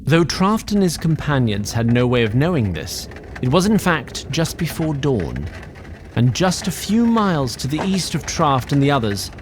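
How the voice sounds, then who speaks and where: A man's voice: medium pitch, a little hiss or hum. A man narrating a scripted fantasy audio drama in a formal, literary, wry manner.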